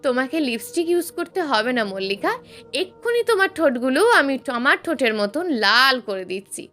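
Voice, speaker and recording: Woman reading as cocky teasing young hero guy in a romance story, high animated voice. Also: normal speed, heavily acted. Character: confident, teasing young male romantic lead.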